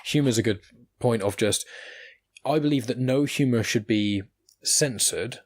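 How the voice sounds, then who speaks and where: A man's voice: medium pitch, a little slow, no room sound. A man hosting a casual podcast chat, talkative and rambling as he speaks. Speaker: podcast host.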